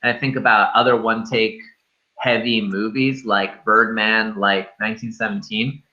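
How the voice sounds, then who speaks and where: A man's voice: on the low side, level tone, normal speed, bright, forceful tone, lo-fi sound. A man co-hosting a film review show, talking casually in a rambling, enthusiastic way full of superlatives.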